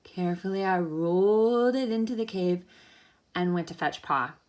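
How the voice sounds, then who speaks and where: A woman schoolteacher reading a picture book aloud with animated, dramatic emphasis and encouraging asides to her pupils. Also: on the low side, moderate pace. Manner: encouraging, checks understanding with questions. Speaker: elementary school teacher.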